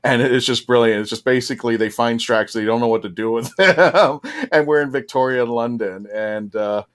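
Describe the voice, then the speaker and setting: A man's voice: mid-range voice, moderate pace, fairly bright tone, smooth. A man speaking as a panelist in casual, informal fan chat.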